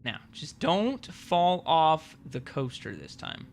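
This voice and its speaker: A man's voice: fairly high pitch, big pitch swings, takes it slowly, slight background noise. A young man casually commentating a horror game, joking and swearing as he plays. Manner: joking, swearing, talking to himself. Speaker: gaming streamer. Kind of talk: casual let's-play commentary.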